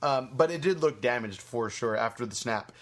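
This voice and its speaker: A man's voice: medium pitch, expressive, normal speed. Guy hosting a casual movie podcast, medium voice, rambles a bit and swears. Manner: rambling, foul-mouthed. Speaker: podcast host.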